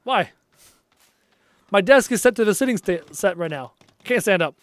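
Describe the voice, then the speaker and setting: A man's voice: high voice, moderate pace, dry recording. A man streaming a game live, chatting casually with viewers and reading out their messages, his voice worn and tired. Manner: chatty, reads out viewer messages, easily excited. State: losing his voice, low on energy. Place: at his desk at home.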